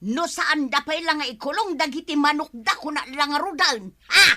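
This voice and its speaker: A woman's voice: sits high, normal speed. An actress playing a quarrelsome older village woman who scolds and nags in an Ilocano Filipino accent, in a radio comedy drama. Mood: irritated scolding. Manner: scolding, loud, nagging.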